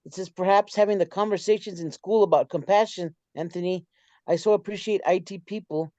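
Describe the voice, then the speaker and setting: A man hosting reads viewer comments aloud in a measured, plain way. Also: high voice, a little mellow, dry recording. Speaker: host reading viewer comments. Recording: dull, muffled lo-fi audio.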